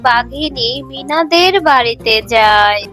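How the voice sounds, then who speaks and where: Slow, high-voiced woman narrating. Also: expressive, a bit bright, soft background music. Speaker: story narrator. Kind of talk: scripted children's story narration.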